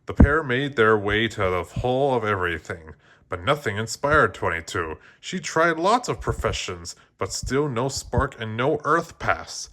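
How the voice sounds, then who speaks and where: A man's voice: medium pitch, animated, unhurried, bright, forceful tone. A man reading a children's storybook aloud as narrator, often stumbling and restarting his phrases. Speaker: storybook reader.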